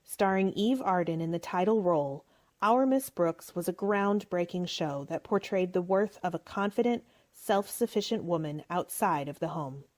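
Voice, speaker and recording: A woman's voice: lowish voice, moderate pace, darkish tone. A woman host giving a scripted introduction and narration for a radio play.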